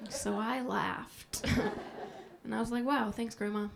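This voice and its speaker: Woman, from Minnesota, stand-up comic, soft deadpan delivery, vocal fry, noisy live recording. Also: a little high. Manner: soft-spoken, deadpan, self-deprecating. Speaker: stand-up comedian. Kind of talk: stand-up set. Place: comedy club stage before a live audience. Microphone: handheld stage mic.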